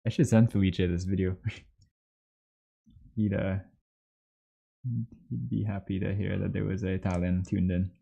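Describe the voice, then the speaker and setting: Low, gravelly, laid-back man. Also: little pitch movement, measured pace, pauses often, warm tone. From Trinidad and Tobago. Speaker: livestream host, 3D artist. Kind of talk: casual livestream chat while sculpting. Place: small indoor home workspace.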